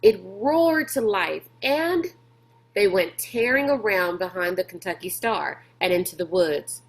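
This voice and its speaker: Female teacher-narrator, mid-pitched, slow and expressive storybook read-aloud. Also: rough recording. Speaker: teacher reading aloud to pupils.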